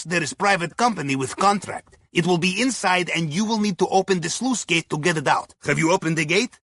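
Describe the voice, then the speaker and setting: An actor playing a jovial, crafty Russian submarine captain in a video game, planning heists in a wry, conspiratorial way with a raspy voice and clipped non-native phrasing. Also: male voice, high voice, sharp tone, dry recording, full character voice. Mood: wry, genial. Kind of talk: video game mission dialogue.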